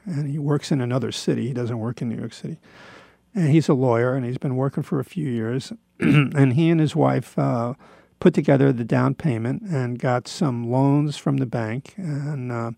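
A man in his seventies talks through his thoughts in a gravelly, creaky voice at a middling pitch. His delivery is a halting, rambling personal monologue, reflective and self-deprecating. The recording is close and dry. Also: darkish tone, no echo. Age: 70s.

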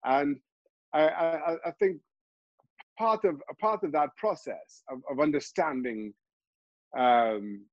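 Halting man from Trinidad and Tobago. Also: fairly high pitch, dry recording, highs cut off. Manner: halting, many restarts and repeated words. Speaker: football pundit and show host. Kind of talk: candid interview answers.

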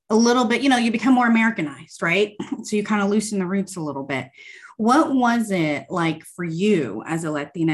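A woman hosting an interview, encouraging her guests and affirming them often. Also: lowish voice. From United States. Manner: encouraging, frequent affirming backchannels. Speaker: interview host. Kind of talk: conversational interview.

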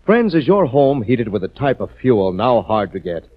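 A man's voice: medium pitch, very animated, normal speed. A man working as announcer and narrator, reading scripted copy in an emphatic, formal 1940s radio style. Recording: muffled 1940s radio recording.

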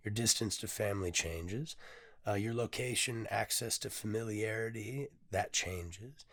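A hoarse, tired man hosting his own podcast in a casual, candid way, often pausing on "um" and swearing freely. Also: lowish voice, a bit bright. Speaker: podcast host and poet. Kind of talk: casual solo podcast talk.

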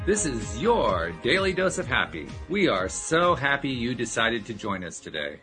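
A man's voice: mid-range voice, very animated, moderate pace, sharp tone, faint music behind. A man hosting a podcast in a casual, rambling way, chuckling as he talks. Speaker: podcast host.

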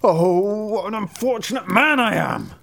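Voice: mid-range voice, animated, unhurried, vocal fry, a bit bright, pitched above the performer's own voice. A storyteller playing a hapless, self-pitying male wagon driver with melodramatic wailing. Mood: wailing despair.

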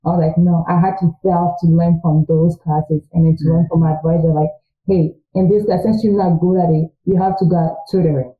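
A woman's voice: fairly low pitch, level tone, a little fast. A young woman from Congo whose first language is French, talking earnestly and chattily as an interview guest. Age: young adult. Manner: earnest, chatty, quoting her own thoughts aloud. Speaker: guest, recent college graduate. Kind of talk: casual interview talk. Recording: tinny phone-like line, echoey room.

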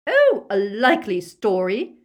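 A woman's voice: mid-range voice, huge pitch swings, unhurried, a bit bright, pitched above the performer's own voice. A woman voicing a cruel, deranged witch in an audiobook, screaming and screeching as she interrogates. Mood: enraged, suspicious.

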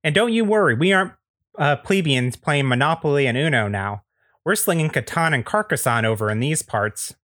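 A man's voice: fairly high pitch, animated, brisk, fairly bright tone, no room sound, clean studio sound, pitched above the performer's own voice. A man voicing a chipper, nosy suburban male neighbor with over-friendly, folksy phrasing in a game. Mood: cheerful, prying.

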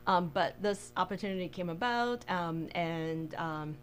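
A woman from Taiwan speaks as an interview guest in a slightly low voice. She tells things casually and haltingly, thinking aloud as she goes. There is faint noise and hum behind her. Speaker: interview guest, bakery co-owner. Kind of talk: casual conversational storytelling.

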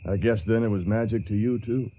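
A man's voice: lowish voice, steady tone, a little fast, natural voice. A man playing a courteous, weary wounded young soldier in a radio drama, speaking with restraint. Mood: rueful. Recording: dull muffled radio recording.

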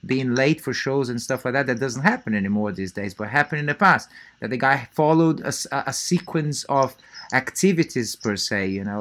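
A man's voice: mid-range voice, a bit bright. A man hosting a casual interview show, asking long rambling questions full of fillers. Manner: rambling, many fillers and restarts, frequent "mm-hmm". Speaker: podcast host.

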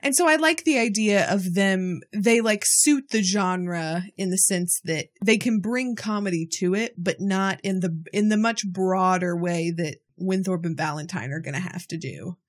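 Woman, podcast co-host, casual chat, measured and thoughtful. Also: fairly low pitch. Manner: thoughtful, measured, some hesitations.

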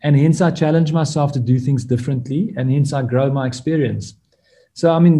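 Dark-voiced Afrikaans-accented man, reflective. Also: a little high. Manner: reflective, candid, thinks aloud in short restarting phrases. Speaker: guest author. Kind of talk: podcast interview.

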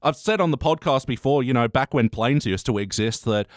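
A man's voice: medium pitch, fairly quick, no echo, clean studio sound. A man hosting a casual review chat podcast, blunt and given to swearing. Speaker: podcast host.

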